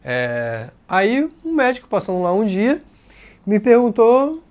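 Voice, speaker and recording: A man's voice: sits high, measured pace. A man from Rio de Janeiro with a carioca accent, casually telling a personal story to his channel's viewers. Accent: Rio de Janeiro Portuguese. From Rio de Janeiro, Brazil. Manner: casual and expressive, voices others' words in quotes. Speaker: channel host.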